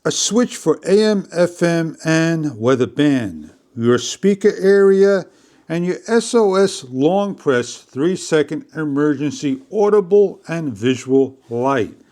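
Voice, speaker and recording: A man narrating a step-by-step product demonstration in a deliberate, phrase-by-phrase instructional way. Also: a little high, lively intonation, darkish tone. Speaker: product reviewer.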